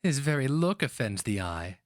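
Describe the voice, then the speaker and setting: A man's voice: mid-range voice, very animated, normal speed, no room sound, studio quality, voice pushed up, lightly acted. A man voicing a naive, horrified young girl with a hesitant, wincing delivery in a fantasy story. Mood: disgusted, aghast. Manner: gasping questions, wincing, hesitant.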